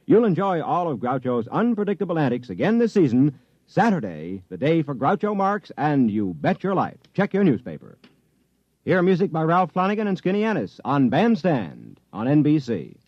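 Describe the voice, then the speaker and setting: Lively male vintage radio promo announcer. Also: on the high side, moderate pace, no room sound. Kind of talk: 1950s radio promo read. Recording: dull vintage radio recording.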